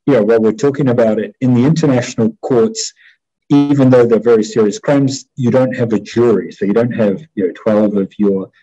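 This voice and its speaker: A middle-aged man with a New Zealand accent, explaining as an interview guest in a measured, hesitant way over a headset on a video call. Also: mid-range voice, steady tone, dark tone, husky, soft background music. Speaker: interview guest, war crimes prosecutor. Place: small indoor room at home. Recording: dull video-call audio.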